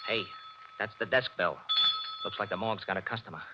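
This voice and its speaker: An actor playing a brusque, bossy private detective in a 1940s radio drama, on a scratchy old recording with hiss. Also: male voice, on the low side, a little fast, highs cut off, lightly acted. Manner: brusque and bossy, with rising excitable outbursts. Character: brash wisecracking male private detective.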